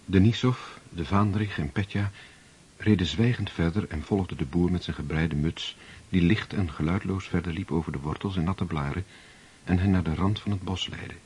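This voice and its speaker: A male radio play narrator with a deep and very raspy voice. He reads scripted literary prose in a measured storytelling way. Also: a little mellow.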